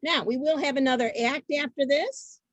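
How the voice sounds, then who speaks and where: A woman hosting an online event over a video call, chatting informally and haltingly, often repeating her phrases. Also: sits high, dry recording. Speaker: host of an online reader's theater event.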